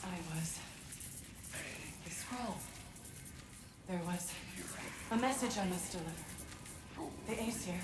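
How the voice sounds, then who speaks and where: An actress playing a dutiful ghost messenger in a video game, earnest and halting, echoing over background noise. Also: female voice, fairly low pitch, music in the background. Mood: dazed, urgent. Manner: halting, urgent. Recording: echoey, noisy ambient game scene.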